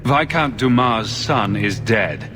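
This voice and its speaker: A man's voice: on the low side, lively intonation, slow pace, background noise. A man playing a principled, forthright fantasy hero in a video game, direct and accusing in manner. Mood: grim, accusing.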